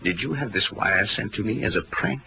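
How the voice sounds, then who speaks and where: An actor playing a pompous, inquisitive mystery writer, arch and erudite, in a 1940s radio drama style. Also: male voice, lowish voice, a little fast, creaky, sharp tone, exaggerated voice. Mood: theatrical, excited. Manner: arch, erudite, musing aloud. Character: pompous, theatrical male mystery author, inquisitive and condescending. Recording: crackly vintage radio drama recording.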